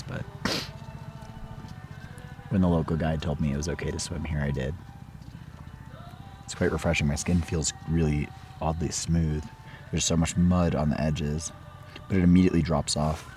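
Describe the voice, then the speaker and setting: Low, dark-voiced man with a cold. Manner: relaxed, chatty, meditative. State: losing his voice from a cold. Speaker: art vlogger. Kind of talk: casual travel vlog narration. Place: outdoors by a hot spring. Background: faint local music.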